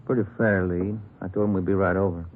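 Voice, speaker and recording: An actor playing a plain-spoken police detective partner, talking in clipped, casual cop talk in an old radio drama. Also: male voice, sits low, fairly even intonation, fast, natural voice. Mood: brisk. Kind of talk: old-time radio crime drama acting. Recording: noisy, muffled old radio recording.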